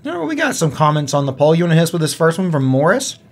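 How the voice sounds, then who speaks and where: A man's voice: fairly high pitch, big pitch swings, racing. A man co-hosting a gaming podcast, talking in a casual, conversational style. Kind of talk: casual chat.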